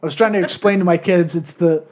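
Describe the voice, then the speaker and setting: Male podcast host, notably high-pitched, mumbly and jokey, loose interview banter at his own expense. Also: fast talker, a little mellow. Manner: self-deprecating, joking, profane. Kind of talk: casual comedic interview chat.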